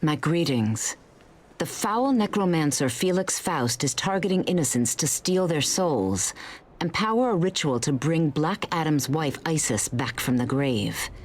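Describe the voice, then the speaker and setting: An actress playing a noble, stern warrior heroine, giving formal, grave mission briefings in a superhero game. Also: female voice, low voice, expressive, unhurried. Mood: stern, urgent.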